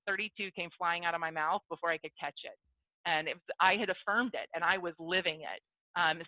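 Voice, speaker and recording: A woman's voice: fairly low pitch, no echo. A woman in her thirties speaking on a video call in a chatty, self-deprecating way, and acting out both sides of a conversation she recounts. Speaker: business networking speaker. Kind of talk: casual motivational talk. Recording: dry, tinny phone-like audio.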